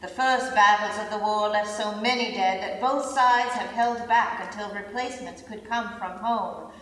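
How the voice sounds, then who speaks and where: A woman playing a reflective British soldier writing home, reading in measured, expressive reader's theater style on a stage. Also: mid-range voice, natural voice. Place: large indoor theater stage. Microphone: far camera. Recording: distant, echoey theater stage, faint noise.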